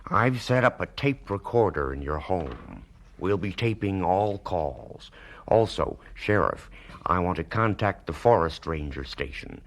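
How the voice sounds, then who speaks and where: An actor playing a cool, shrewd investigator in a 1970s radio drama, with a clipped, businesslike, authoritative manner. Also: male voice, deep voice, lively intonation, a little slow. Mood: brisk, commanding.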